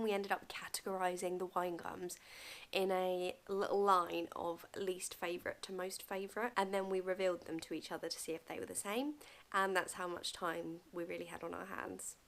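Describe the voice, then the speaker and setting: Young woman vlogger with a touch of fry, casual and chatty, filmed at home. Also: medium pitch. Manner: chatty, friendly, direct to camera. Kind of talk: casual advice vlog. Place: bedroom at home, indoors.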